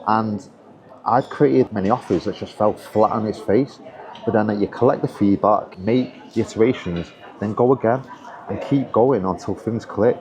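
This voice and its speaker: A man's voice: lowish voice, moderate pace, a little mellow, poor audio. A man who works as an online business coach, talking casually and earnestly to camera with encouragement and frequent restarts, over crowd chatter. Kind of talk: casual motivational talk to camera.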